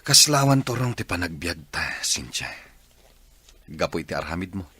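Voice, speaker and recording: A man's voice: low-pitched, sing-song, moderate pace, sharp tone, slightly rough, full character voice. A man playing an exasperated, reproachful husband in a Filipino radio drama, speaking with a Filipino accent.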